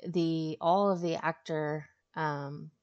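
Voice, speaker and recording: A woman hosting a casual game walkthrough, speaking with hesitant pauses mid-sentence. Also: sits low, fairly even intonation, highs cut off. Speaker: walkthrough host.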